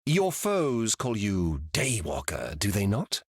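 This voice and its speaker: An actor playing a solemn, grandiose legendary warrior in a video game, speaking formally and deliberately in a husky voice. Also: male voice, fairly low pitch, wildly swooping pitch, no echo, studio quality, exaggerated voice. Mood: solemn, proud.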